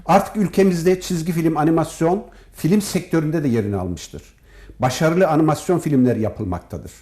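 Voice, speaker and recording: A man with a fairly high, mellow voice speaks as an academic being interviewed. His delivery is formal and measured, laying points out in orderly, list-like phrases. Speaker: professor and department head, interview guest. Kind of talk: formal, prepared-sounding interview answers.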